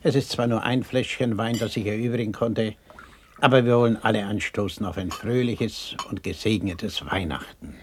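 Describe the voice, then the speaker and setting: An actor playing a gentle, weary, kind-hearted father in a radio play, mild and a little hesitant, with a husky voice. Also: on the low side, steady tone, moderate pace, slight background noise, close to an everyday voice. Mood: earnest. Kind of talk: 1960s German radio play acting.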